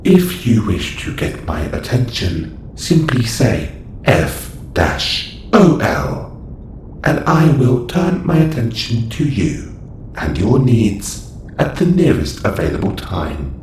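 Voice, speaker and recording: A man acts out a calm, clinical robot caretaker in a science fiction audio roleplay. His deep male voice moves slowly through stilted, precise sentences. The recording is roomy and echoing. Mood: clinical, instructive. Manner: stilted, precise, formal phrasing. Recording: roomy echo, faint background noise.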